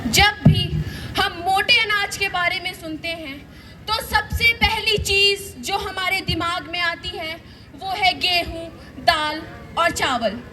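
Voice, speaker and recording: A woman's voice: very high-pitched, slow pace, bright, forceful tone, full character voice. A young female narrator declaiming scripted narration in a school stage play. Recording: distant mic, faint stage noise.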